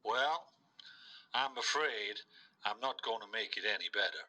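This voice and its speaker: A man's voice: on the low side, measured pace, pushed and bright, full character voice, rough recording. A man acting in a radio play as a blunt, scornful investigator reporting to a client. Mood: reluctant. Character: blunt, scornful male hiring-firm investigator on a call.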